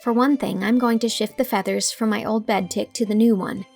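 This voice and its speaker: A woman voicing an earnest, impulsive young schoolteacher in audiobook dialogue, eager and high-minded. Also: fairly even intonation, a little fast, gravelly, darkish tone, faint music behind. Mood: virtuous, eager.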